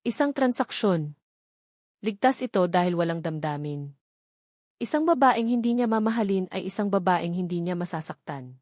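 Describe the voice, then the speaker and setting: A woman's voice: on the low side, animated, normal speed, darkish tone, no echo, studio quality. A woman with a Filipino accent narrating a scripted romantic drama as a storyteller.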